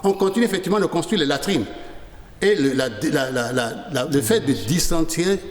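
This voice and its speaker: A man's voice: a little high, expressive, normal speed. Insistent man lecturing in an echoey studio. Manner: insistent, lecturing, with many restarts. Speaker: studio guest, sanitation advocate. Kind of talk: studio interview.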